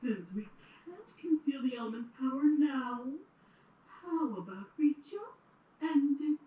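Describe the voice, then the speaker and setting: A woman playing a cruel, menacing, imperious queen in an audio drama, theatrical and commanding with drawn-out words. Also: high voice, animated, phone-quality sound. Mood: sinister scheming. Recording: roomy, distant playback with some noise.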